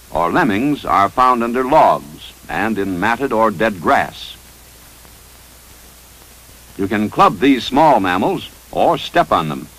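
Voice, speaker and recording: A man with an American accent reading a scripted 1950s instructional film narration in a measured, authoritative, instructive manner. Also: fairly low pitch, a bit bright, low-quality recording. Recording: 1955 training film soundtrack.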